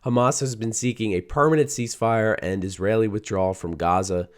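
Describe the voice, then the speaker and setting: Man, news host, hoarse scratchy voice, lowish pitch, casual conversational delivery. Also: steady tone, a little fast. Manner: conversational, reads quotes aloud, some restarts. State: hoarse, voice a little shot. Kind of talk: solo news commentary.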